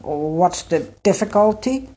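Lady doing a big old frog god for a kids story, self-important, slow, mellow. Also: medium pitch, animated, a little hiss or hum. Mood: bemused. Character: huge pompous grandfather frog god, muttering, bemused.

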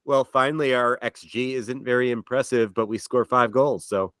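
Smooth-voiced wry man co-hosting. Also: medium pitch, fairly even intonation, moderate pace, fairly bright tone, dry recording. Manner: wry, dry jokes. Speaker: podcast co-host. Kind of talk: casual sports chat.

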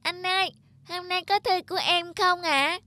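A performer voicing a small, innocent, wistful child in an animated folk tale, polite and earnest in manner. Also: very high-pitched, normal speed, no echo, clean studio sound. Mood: eager.

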